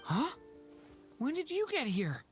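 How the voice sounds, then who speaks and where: A woman voicing an eager, earnest and innocent young boy in a video game, with an expressive, wide-eyed manner. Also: sits high, slow, full character voice. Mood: excited. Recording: game music behind.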